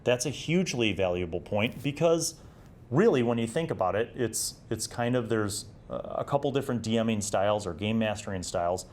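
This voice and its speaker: A man's voice: lowish voice, animated, moderate pace, a little mellow, slight background noise. A man in his forties giving casual, reflective commentary as a host, moving between reading aloud and conversational talk. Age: 40s. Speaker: host of a retro gaming review series.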